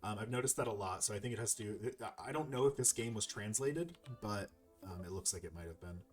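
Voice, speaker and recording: A man with a fairly low voice hosts a casual game playthrough. He muses aloud in a rambling, conversational way. Music plays faintly behind him. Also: brisk. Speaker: gameplay video host.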